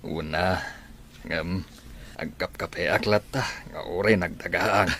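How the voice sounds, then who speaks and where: Radio drama sidekick: mature Filipino best friend, very low-pitched, conversational, supportive, faint noise. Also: male voice, steady tone, slow pace, fairly bright tone, exaggerated voice. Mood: chummy. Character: older male best pal, teasing and supportive.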